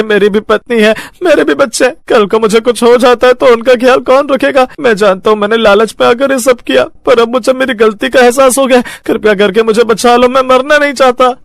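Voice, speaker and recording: Guy doing greedy village man in a kids cartoon, super high pleading whine, frantic, dry sound. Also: brisk, vocal fry, few pauses, no echo. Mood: panicked pleading. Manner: pleading, wailing. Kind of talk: Hindi animated moral story dub.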